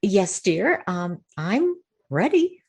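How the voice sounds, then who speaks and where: An actress playing a sensible, mildly scolding housewife and hostess in an old-time radio comedy. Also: female voice, medium pitch, sing-song, slow pace, husky, full character voice. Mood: gracious, orderly.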